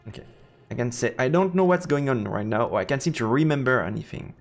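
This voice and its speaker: A man's voice: medium pitch, lively intonation, quick pace, reverberant, in a large hall, soft background music. A man streaming a game, switching between let's play commentary and reading the story text aloud.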